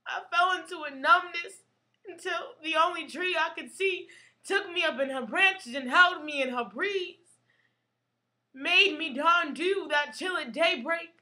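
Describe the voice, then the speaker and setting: A woman with a high, bright voice performs a poetic stage monologue. She speaks with deliberate, emotional phrasing and a theatrical sense of drama.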